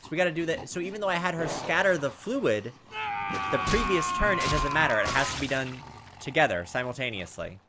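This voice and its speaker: A man's voice: a little high, animated, fairly quick, very noisy. A man giving casual playthrough commentary on a game, thinking aloud and reading on-screen text as he goes. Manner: thinking aloud, talking to himself. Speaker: gaming video host. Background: game music.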